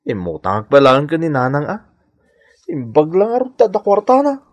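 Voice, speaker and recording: An actor playing a hapless, easily startled village husband in a radio comedy drama, with comic exclamations and an Ilocano accent. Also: male voice, fairly high pitch, wildly swooping pitch, moderate pace, muffled recording. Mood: sheepish, obliging.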